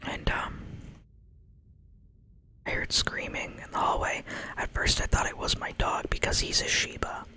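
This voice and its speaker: A young woman telling a long personal story in a soft ASMR whisper, wandering off into asides as she goes.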